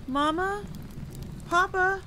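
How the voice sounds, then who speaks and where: Woman doing a scared little farm girl in an audio story, voice way up high, breathless and tripping over words, rain behind. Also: normal speed, close to an everyday voice. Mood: frantic, shouting. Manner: stammering, pleading, breathless. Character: anxious young farm girl, frantic for her family. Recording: clear background noise of rain and thunder.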